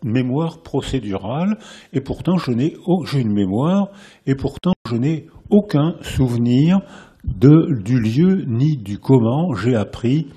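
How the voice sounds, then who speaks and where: A French-accented man lectures to a live audience in a hall with a warm, mellow voice and a slightly husky edge. He talks in a lively way, restarts his sentences and hammers points home through repetition. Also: medium pitch, slow. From France.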